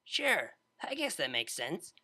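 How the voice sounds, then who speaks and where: Man doing a creaky, brash young DJ mare. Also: a little high, takes it slowly, heavily acted. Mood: sheepish. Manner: slangy, excitable, flippant. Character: brash, laid-back young female unicorn DJ. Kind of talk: fan fiction audiobook character voice.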